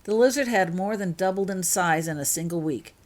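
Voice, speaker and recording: A woman's voice: fairly low pitch, brisk. A woman reading her own fan fiction aloud as scripted storybook narration.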